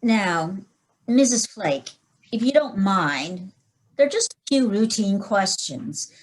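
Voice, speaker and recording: A woman playing a brisk, dry-witted male police inspector in a 1940s-style radio mystery. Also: medium pitch, lively intonation, low-quality recording. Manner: brisk, dry, matter-of-fact.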